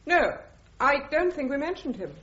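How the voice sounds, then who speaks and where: An actress playing a reluctant army wife giving evidence in a radio courtroom drama, guarded, hesitant and terse. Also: female voice, high voice, very animated, normal speed, fairly bright tone, slight background noise. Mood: reluctant, evasive. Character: reluctant, evasive army major's wife on witness stand. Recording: dull radio drama recording.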